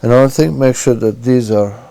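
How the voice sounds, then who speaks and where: A man's voice: mid-range voice, normal speed, creaky, mellow, dry recording, low-quality recording. A man narrating an informal hands-on repair tutorial, giving careful step-by-step instructions and sometimes muttering to himself. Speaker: repair demonstrator.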